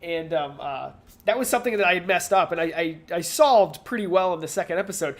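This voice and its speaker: A man speaks as a podcast co-host in relaxed conversational style. His pitch is a little higher than average. He comes across as encouraging and thoughtful, talking things through as he goes. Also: fairly quick. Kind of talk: casual chat.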